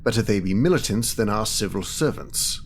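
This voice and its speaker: An actor playing a coolly analytical official in an audio drama, speaking in a formal, measured, clinical manner. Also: male voice, lowish voice, lively intonation, a little hiss or hum. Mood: detached. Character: coolly analytical male official, precise calculating strategist.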